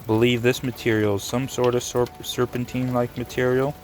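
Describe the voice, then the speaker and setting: A man casually narrating his rock hunt on a rainy beach outdoors, relaxed and musing, thinking aloud with small restarts. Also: mid-range voice, a little fast, faint music behind. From Canada. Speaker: rockhounding vlogger.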